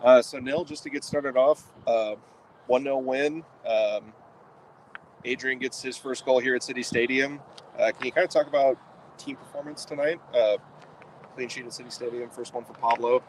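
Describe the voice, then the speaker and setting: A man's voice: medium pitch, fairly even intonation, normal speed, poor audio. A man working as a podcast interviewer, asking loose, rambling questions in a casual post-game style. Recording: clear outdoor background noise.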